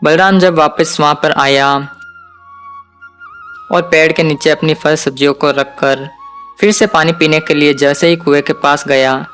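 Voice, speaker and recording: A man's voice: on the high side, fairly even intonation, unhurried, a bit bright, faint music behind. A man narrating a scripted cartoon folk tale for children as storyteller.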